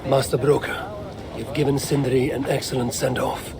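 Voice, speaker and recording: An actor playing a determined, persuasive god in a video game, speaking in a measured, formal, firm way. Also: male voice, medium pitch, steady tone, a crowd in the background, lightly acted. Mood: calm, coaxing. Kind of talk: video game cutscene acting. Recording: game audio under noisy ambience.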